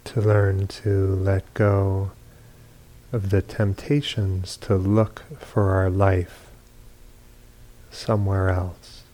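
A man leading a guided meditation as a teacher, speaking in short, measured phrases with long pauses between them. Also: deep voice, flat delivery, warm tone, low-quality recording. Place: meditation retreat hall.

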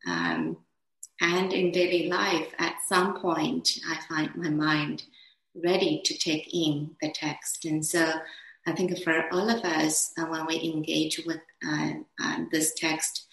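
A woman whose first language is Chinese, teaching a dharma class in a slow, gentle, hesitant way with many fillers. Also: low voice, gravelly, roomy sound, poor audio. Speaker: meditation teacher.

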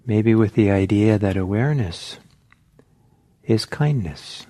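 A man leads a guided meditation as a teacher. His voice is low and mellow. He speaks gently and reflectively, halting often and leaving long pauses, at a very slow pace.

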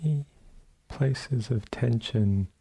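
Slow, husky, mellow man guiding meditation. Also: mid-range voice. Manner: gentle, sparse phrases with long pauses. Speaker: meditation teacher. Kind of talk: guided meditation. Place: meditation center hall.

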